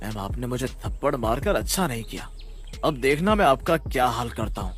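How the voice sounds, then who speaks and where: A man voicing a cocky, spoiled high-school student in a cartoon story, taunting and swaggering. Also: expressive, moderate pace, natural voice. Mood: vengeful, menacing. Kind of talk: animated story character acting.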